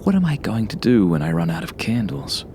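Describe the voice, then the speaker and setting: A man narrating a first-person horror story like a journal, brooding and sometimes hushed, in short halting phrases. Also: lowish voice, very animated, gravelly, slight background noise. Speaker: author reading own horror story.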